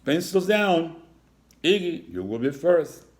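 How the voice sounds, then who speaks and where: A man voicing a stern, pompous horned animal school principal in a children's storybook, brisk and commanding. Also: fairly high pitch, sing-song, pitched above the performer's own voice. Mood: impatient, commanding. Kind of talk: children's storybook read-aloud.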